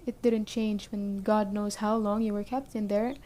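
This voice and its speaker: A woman playing a stern but caring survivor camp leader in an ASMR roleplay, speaking briskly and matter-of-factly straight to the listener. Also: medium pitch, flat delivery, mellow, close to an everyday voice. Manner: brisk, matter-of-fact, addressing the listener directly.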